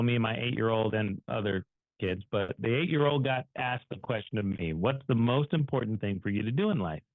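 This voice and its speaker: A man's voice: fairly low pitch, gravelly, no room sound. A man co-hosting an online show, telling personal stories in a casual, folksy, rambling and self-deprecating way. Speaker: co-host of an online finance show. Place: online video call. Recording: muffled phone-like call audio, dry.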